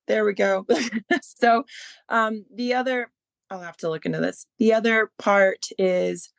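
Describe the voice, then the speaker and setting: A woman's voice: medium pitch, fairly even intonation, measured pace, gravelly, no room sound. A woman hosting an interview podcast, asking winding, loosely phrased questions in a conversational way. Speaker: podcast host. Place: remote online call.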